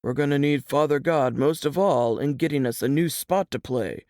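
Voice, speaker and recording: A man playing a protective, plain-spoken Southern piano player in a dramatized audiobook, with folksy period dialect and a creaky voice. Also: fairly high pitch, moderate pace, darkish tone, no echo, studio quality, close to an everyday voice. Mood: determined, hopeful.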